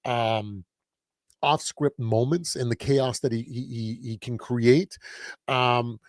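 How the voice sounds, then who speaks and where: Man, raspy voice getting over a cold, sports show host, rambling with fillers, mid pitch, dry close sound. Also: steady tone, takes it slowly, no room sound. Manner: rambling with frequent restarts and fillers. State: recovering from a cold. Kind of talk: casual sports talk livestream.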